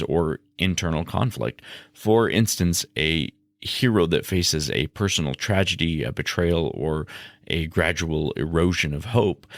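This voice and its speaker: Male podcast host, extremely low voice with creaky edge; measured, formal explainer delivery. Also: no room sound. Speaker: game master. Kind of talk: scripted explanatory podcast narration.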